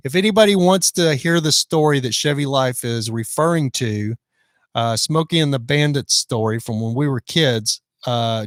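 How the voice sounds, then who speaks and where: A man's voice: mid-range voice, no room sound. Fifty-something guy chatting on his live stream, reading comments, dry close sound. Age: 50s. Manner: chatty, reads out viewer comments. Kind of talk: casual live-stream chat. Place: van in the rain.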